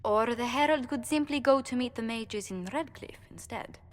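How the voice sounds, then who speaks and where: A woman playing a calm, shrewd spymaster in a video game, speaking with composed deliberation. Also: fairly high pitch, normal speed, in a large hall, slight background noise, natural voice. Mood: persuasive.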